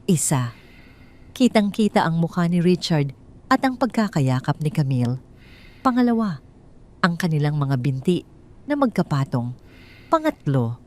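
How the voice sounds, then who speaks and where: A woman telling a first-person drama story in a hushed, tense, suspenseful way. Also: sits low. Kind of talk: first-person scripted narration.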